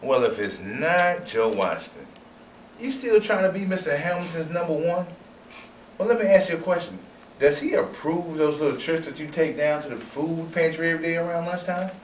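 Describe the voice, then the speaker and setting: A man playing a proud, eager young railroad oilman and inventor in a folksy, expressive amateur sketch read-through. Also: a little high, moderate pace, close to an everyday voice. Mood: defiant. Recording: roomy, distant, noisy amateur recording.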